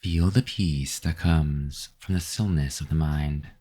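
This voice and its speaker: A man leading a guided meditation in a calm, measured, soothing manner. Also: rumbling low, breathy edge. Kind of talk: slow guided meditation narration.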